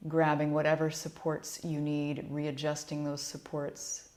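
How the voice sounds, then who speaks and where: Low-pitched woman guiding a relaxation meditation. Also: monotone. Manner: soothing, gentle, unhurried instruction. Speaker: yoga nidra instructor.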